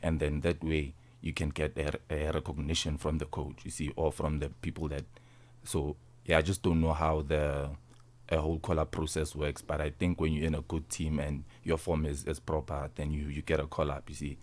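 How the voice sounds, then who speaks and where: South african guy, 19, really deep low voice, casual interview answers, rephrases a lot. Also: flat delivery, moderate pace, darkish tone. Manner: informal, repeats and rephrases, tags phrases with "you see". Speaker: interview guest, rugby player.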